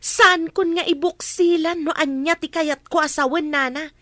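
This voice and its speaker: An outspoken, caring neighbour in an Ilocano radio drama. Her voice is high and earnest with an Ilocano accent, recorded very dry. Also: steady tone, normal speed, sharp tone, no echo. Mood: reproachful. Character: outspoken, sympathetic woman neighbour defending a wronged mother.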